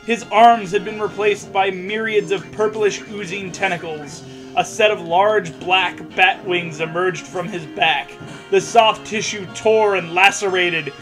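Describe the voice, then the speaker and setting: Male co-host, high voice, takes his time, sarcastic and theatrical read-aloud with snide asides, music underneath. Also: fairly even intonation, unhurried, poor audio. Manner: sarcastic, theatrical, stumbles over text. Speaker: podcast co-host reading fan fiction aloud.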